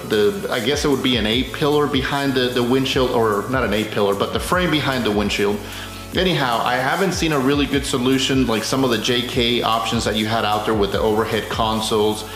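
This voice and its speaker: A man talks through a hands-on product install in a roomy, echoing indoor space with music underneath. His voice sits at an average male pitch, and his delivery is conversational and explanatory, like an unscripted how-to host. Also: a bit bright. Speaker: video host demonstrating car accessory installation. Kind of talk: casual unscripted product unboxing and how-to talk.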